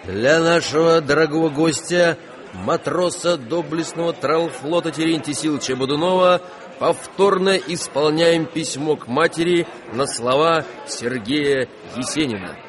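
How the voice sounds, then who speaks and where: A man's voice: on the high side, unhurried, crowd noise, lightly acted. A man playing a ceremonious restaurant bandleader making a stage announcement in a radio play.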